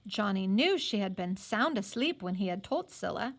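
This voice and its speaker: A woman reading a novel aloud as a teacher would, in an expressive storytelling style. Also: mid-range voice, normal speed. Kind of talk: expressive story read-aloud with brief explanatory asides.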